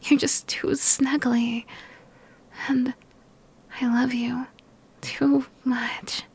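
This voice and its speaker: A young woman whispers slowly and softly, all affection, sounding sick and worn out. Her breathy voice sits a little high and carries a gravelly edge. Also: flat delivery. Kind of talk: whispered ASMR girlfriend roleplay.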